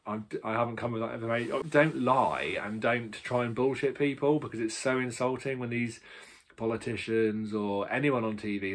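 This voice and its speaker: A man's voice: mid-range voice, slightly rough. A British man giving a casual, opinionated book review in a rambling, emphatic and blunt way.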